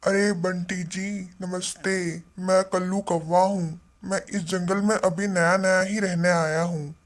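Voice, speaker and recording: A man's voice: sits high, little pitch movement, unhurried. A man playing a polite, chatty male bird neighbour in a cartoon story, with a deferential, explanatory way of talking. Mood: polite, inquiring. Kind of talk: Hindi cartoon story dialogue.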